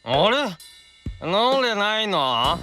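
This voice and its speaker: Anime audio drama demon, male actor: young man, drowsy and confused, sparse slow questions, lifted swings in pitch. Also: sing-song, bright, forceful tone, faint music behind, exaggerated voice. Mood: groggy, confused. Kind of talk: improvised audio drama acting.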